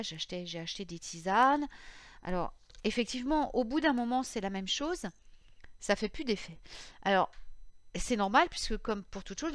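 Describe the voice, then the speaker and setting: Slightly hoarse French-speaking woman, dry close sound. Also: on the high side, no echo. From France. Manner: rambling, many fillers and restarts. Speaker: podcast host on witchcraft. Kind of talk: casual spoken podcast.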